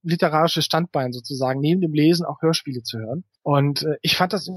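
A middle-aged man speaks as an interview guest. His voice sits a little high and has a husky grain, recorded close and dry. He explains his points conversationally, pausing often to search for words. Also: no echo.

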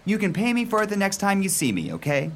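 A woman's voice: medium pitch, wildly swooping pitch, fast, slight background noise. A woman playing an earnest, flustered rookie ice cream seller in a radio play, hesitant and accommodating. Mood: obliging.

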